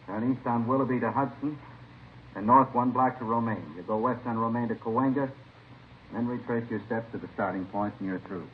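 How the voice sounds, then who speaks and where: An actor playing an authoritative police captain who gives methodical instructions in a vintage radio crime drama. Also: male voice, mid-range voice, fairly quick, natural voice. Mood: businesslike. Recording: muffled, hissy old radio recording.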